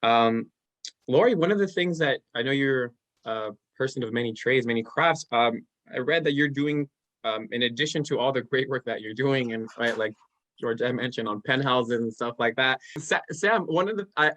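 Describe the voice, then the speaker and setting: A man moderating a panel, thanking the speakers warmly and asking them questions. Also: medium pitch. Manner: friendly, encouraging, reads out quotes.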